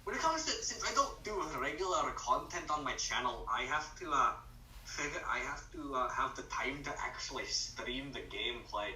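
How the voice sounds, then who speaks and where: A young man in casual, halting chat as a guest on a friend's voice-call live stream. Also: medium pitch, expressive, bright, forceful tone, a little hiss or hum. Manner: rambling, halting, restarts phrases. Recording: echoey room, far from the mic.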